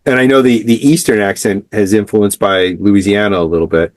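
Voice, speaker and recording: A man's voice: lowish voice, steady tone. A man, co-hosting a podcast in casual conversation, often chiming in to agree. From Long Island, New York. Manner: agreeable, quick backchannel. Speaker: podcast co-host, teacher.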